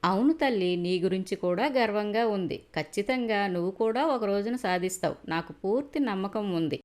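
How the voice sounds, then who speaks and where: A woman's voice: mid-range voice, moderate pace, darkish tone, natural voice. A woman voicing a caring, supportive mother in a cartoon story. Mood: proud, consoling.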